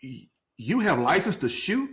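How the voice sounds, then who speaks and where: A man co-hosting a podcast, teasing his cousin in casual, animated chat. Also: high-pitched, moderate pace. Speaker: podcast co-host.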